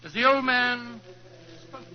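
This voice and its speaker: An actor playing an earnest English gentleman narrator in a 1940s radio drama, telling a scripted tale. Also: very high voice, slow pace. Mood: measured, storytelling. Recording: muffled 1940s radio recording.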